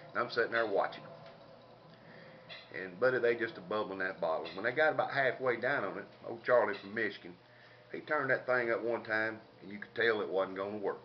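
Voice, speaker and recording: An older man with a Southern US accent tells a casual comic story in a folksy, drawling country way with colorful slang. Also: fairly low pitch. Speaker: comedic storyteller. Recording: dull lo-fi home recording, background noise.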